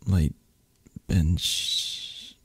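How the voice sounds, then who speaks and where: A man playing a possessive mafia boss in a romantic ASMR audio roleplay, speaking haltingly with sighs and pointed pauses in a low, breathy, husky voice. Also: fairly bright tone, no room sound. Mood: sleepy, awkward concern. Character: possessive, menacing young mafia boss, softening into awkward tenderness.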